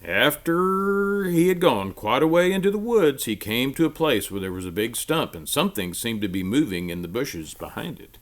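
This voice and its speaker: A man reading a children's storybook aloud in an expressive, emphatic storytelling manner. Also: mid-range voice, normal speed, hardly any pauses.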